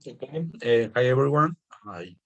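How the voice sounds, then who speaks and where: Modest, halting man from Ecuador. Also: mid-range voice, animated, fairly bright tone, dull audio. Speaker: assistant program officer. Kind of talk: video call introduction. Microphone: video call.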